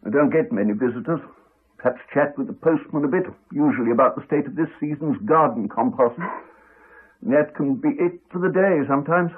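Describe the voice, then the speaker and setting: An actor playing an elderly retired village doctor in a radio drama, halting, courteous and rueful. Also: male voice, a little high, breathy edge. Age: older. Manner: halting, apologetic, rambling, courteous. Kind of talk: 1980s radio drama acting. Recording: muffled narrow-band 1980s radio recording.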